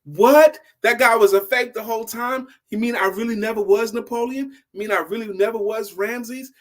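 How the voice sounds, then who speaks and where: A man's voice: extremely high pitch, moderate pace, pitched above the performer's own voice. A man voicing a gullible poor fellow who fancies himself a king, exclaiming naively in a told story. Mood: dismayed.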